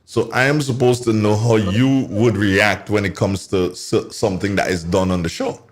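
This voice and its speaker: A man's voice: lowish voice, fairly even intonation, moderate pace. A man hosting a morning radio show, chatty and teasing, often repeating his phrases. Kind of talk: casual radio interview chat.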